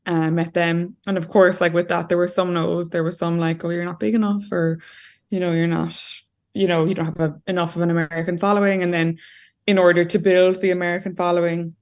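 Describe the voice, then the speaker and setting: A woman's voice: fairly low pitch, level tone, fairly quick, a little mellow. A young Irish woman talking as an interview guest over a video call from a room at home, chatty and full of fillers. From Ireland. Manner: chatty, lots of "like" and "you know". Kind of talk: casual interview talk.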